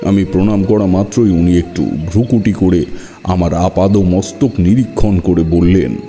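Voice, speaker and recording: A man narrating a scripted first-person horror story in a measured, literary storytelling manner. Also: low voice, fairly even intonation, mellow. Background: music.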